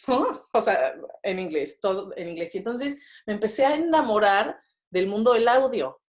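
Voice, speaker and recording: A Mexican woman in her thirties talks as a guest on a podcast. Her voice sits at a middle pitch. She chats freely and keeps looping back with fillers and quick tag questions. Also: animated. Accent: Mexican Spanish. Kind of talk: casual interview conversation.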